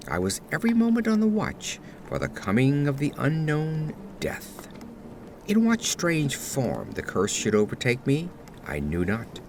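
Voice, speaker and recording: A man giving a dramatic, solemn and deliberate narration of a gothic horror story in an old-fashioned literary style. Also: mid-range voice, a little hiss or hum. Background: atmospheric ambience.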